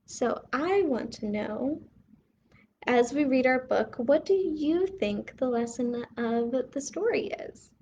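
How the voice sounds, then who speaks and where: A woman hosting a bedtime read-aloud for young children, expressive and putting questions to her listeners. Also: high voice, slow, dull audio, a little hiss or hum. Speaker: children's story reader and host.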